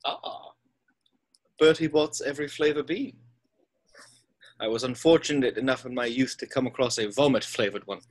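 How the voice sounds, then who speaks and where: A man playing a wise, gentle, elderly wizard headmaster in a fantasy screenplay read, speaking in a measured, deliberate way. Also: medium pitch, close to an everyday voice. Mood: whimsical.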